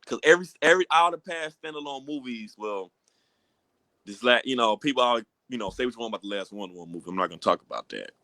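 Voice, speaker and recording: A man's voice: mid-range voice, fast, pushed and bright, no echo. An American man co-hosting a podcast, talking casually in an emphatic, slangy way and repeating himself. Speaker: podcast co-host. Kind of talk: casual banter about comic book films.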